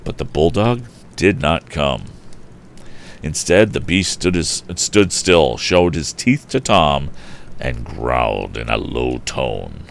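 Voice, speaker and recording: Deep-voiced, animated male storyteller. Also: takes it slowly, gravelly, a little hiss or hum. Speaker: storyteller, livestream host. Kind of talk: expressive audiobook-style story reading.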